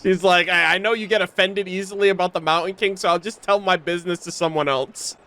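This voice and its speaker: A woman's voice: on the low side, fairly even intonation, fast talker, sharp tone. A young adult woman chatting casually and expressively as a streamer reacting to a game, with mock-dramatic exclamations. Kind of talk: casual livestream reaction chat. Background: faint game music.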